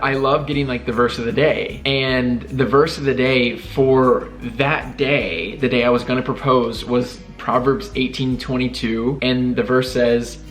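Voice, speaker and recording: A young man telling a personal story in a casual chat with his wife, animated and self-deprecating, often laughing at himself. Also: medium pitch. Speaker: vlogger telling proposal story.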